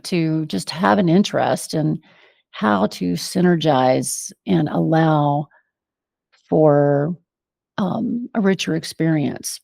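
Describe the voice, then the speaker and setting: American woman with a low, creaky voice. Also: mellow, dry recording, studio quality. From United States, Venezuela and Argentina. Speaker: interview guest. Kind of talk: reflective personal storytelling in an interview.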